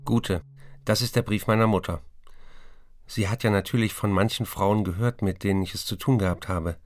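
A man's voice: lowish voice, fairly even intonation, normal speed, dry recording, studio quality, natural voice. An actor playing a troubled writer who looks back on his bookish youth in a radio play, plain and reflective. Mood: wounded, explaining. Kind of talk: radio play, first-person literary recollection.

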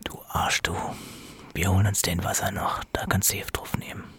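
A man's voice: deep voice, flat delivery, moderate pace, a bit bright, in a whisper. An actor playing a nosy, accusing village man in a radio play, speaking colloquially and confrontationally with a Berlin-Brandenburg accent. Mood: angry. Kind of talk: naturalistic German radio play acting.

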